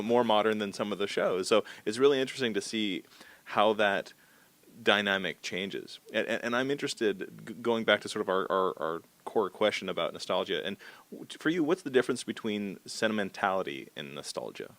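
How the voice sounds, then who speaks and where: A man interviewing in a conversational way, working haltingly and discursively toward his questions. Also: lowish voice, a bit bright, no echo.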